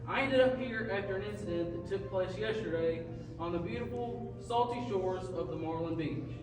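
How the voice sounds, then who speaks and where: Man as high-voiced radio sidekick, very echoey. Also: lightly acted. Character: earnest male radio show sidekick reading announcements. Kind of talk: scripted comedy skit reading. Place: live performance in a large hall. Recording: very echoey hall, distant, music behind.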